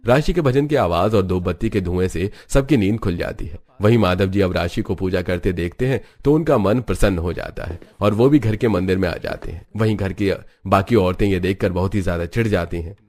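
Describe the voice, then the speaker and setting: A man's voice: sits low, brisk, hardly any pauses, darkish tone. A man narrating a scripted cartoon family story.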